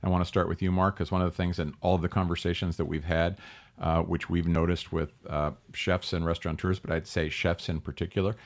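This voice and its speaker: A man with a low, deep voice hosts a talk show interview. He speaks in a relaxed, conversational way, often pausing with fillers and restarting sentences as he sets up his questions.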